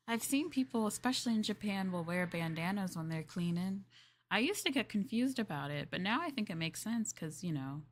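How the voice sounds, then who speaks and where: A young woman chatting in an ASMR maid roleplay. She rambles playfully, giggles and hesitates with fillers while speaking to the listener as her master. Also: on the low side. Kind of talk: soft casual ASMR roleplay chat.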